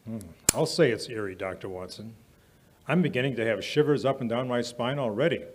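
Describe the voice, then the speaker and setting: A man playing an affable 1940s radio announcer and pitchman, theatrical and deliberate on a live stage. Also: a little hiss or hum, close to an everyday voice. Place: small stage in a museum, live performance. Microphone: vintage-style stand microphone.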